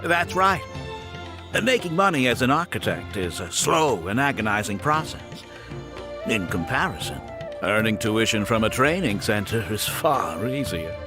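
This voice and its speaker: Smug, scheming architect, theatrically lilting. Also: male voice, fairly low pitch, very animated, moderate pace. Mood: smug, persuasive. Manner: smooth, condescending, self-justifying. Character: smug, scheming male architect running a scam academy. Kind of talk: theatrical video game voice acting. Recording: game audio with background music.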